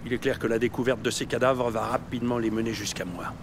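An actor playing a menacing mob don in an audio series, formal, measured and coldly threatening. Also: male voice, mid-range voice, steady tone, noisy background, lightly acted. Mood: aggrieved, cold. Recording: music behind the voice.

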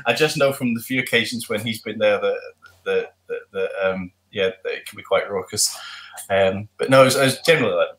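Casting: middle-aged male musician, low voice, rambling chatty answers over webcam. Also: a little fast, fairly bright tone, rough recording. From not from Glasgow. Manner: chatty, rambling, lots of ums and restarts. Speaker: musician guest. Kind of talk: casual video call interview. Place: home room with guitars. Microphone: webcam on video call.

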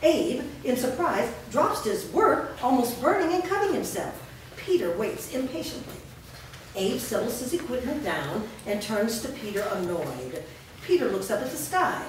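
Casting: female stage-directions reader, lively intonation, scripted delivery, picked up by a distant mic. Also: a little high, a little slow. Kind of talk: scripted narration at a staged reading. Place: indoor performance room before a small audience. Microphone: far camera. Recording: echoey hall, distant mic, noisy.

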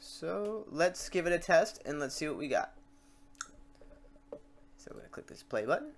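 A man hosting a software tutorial, talking casually and thinking aloud as he works through steps and mistakes. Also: a little high, sing-song, moderate pace, creaky, a little hiss or hum. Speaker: tutorial host.

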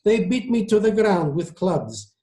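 An elderly Italian maestro, played by a man reading a novel aloud, speaks in a raised, high voice with proud indignation. His accent is heavy and every retort is emphatic, heard in an echoey room. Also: big pitch swings, a little slow, warm tone. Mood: indignant.

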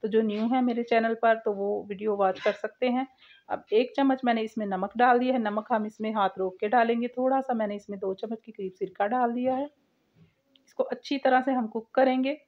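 A woman hosts a home cooking channel, talking through a recipe step by step. Her voice sits a little high. The sound is somewhat dull and lo-fi, like a simple home recording. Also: fairly even intonation, fairly quick. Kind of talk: recipe voice-over, step-by-step instruction.

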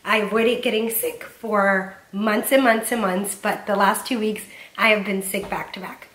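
A woman's voice: mid-range voice, fairly even intonation, moderate pace, fairly bright tone, rough recording. A woman in her thirties from Southern California, chatting upbeat in a home vlog from her living room, her voice still a little off from a cold. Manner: upbeat and chatty, with frequent "um" fillers. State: voice slightly off while getting over a cold. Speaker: home vlogger, mom. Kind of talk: casual cleaning and decorating vlog.

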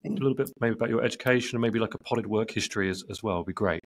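A man's voice: on the low side, rapid-fire. A man in his thirties casually asking interview questions as a podcast host on a video call. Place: indoor room on a video call. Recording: noisy video call with clicks.

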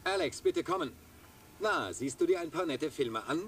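An actor playing a resolute leader of a heroic team in a dubbed action cartoon, decisive and commanding. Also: male voice, on the high side, huge pitch swings, moderate pace, gravelly, very noisy, heavily acted.

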